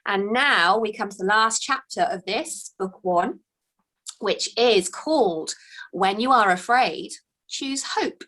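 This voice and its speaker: A woman, a children's author, reading her own book aloud with gentle, expressive storytelling, then chatting about the next book. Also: mid-range voice, slow pace, poor audio.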